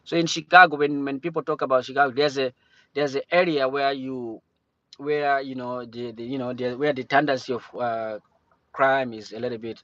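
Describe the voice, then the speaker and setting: A man from Cameroon chatting casually on a livestream, talking in a halting way with many restarts and fillers. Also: medium pitch, steady tone, fairly bright tone, no room sound. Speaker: livestream host. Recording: dull lo-fi stream audio.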